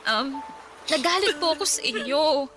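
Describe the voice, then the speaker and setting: An actress playing an earnest, forgiving grown daughter in a radio drama, with a polite, halting delivery. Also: female voice, high-pitched, lively intonation, pushed and bright. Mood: earnest, emotional. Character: earnest, forgiving grown daughter seeking her long-lost mother. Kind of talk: Tagalog radio drama acting. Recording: music under the dialogue.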